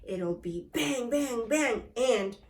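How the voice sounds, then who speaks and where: A woman's voice: a little high, animated, takes it slowly, echoey room. A woman reading the part of a nasty old husband who is gun-happy and spiteful, in a grumbling, scornful manner. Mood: scheming.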